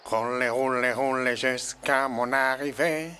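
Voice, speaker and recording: A man voicing a determined animal racer in a French-dubbed cartoon, speaking in a deliberate, chanting way. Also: mid-range voice, steady tone, pushed and bright, clean tone. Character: determined, slow rolling male animal racer.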